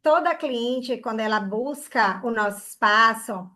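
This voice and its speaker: A woman's voice: a little high, a little slow, bright, forceful tone. A woman from Bahia, giving an online hair care class in an earnest way and often checking in with "né?" and "tá?". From Bahia, Brazil.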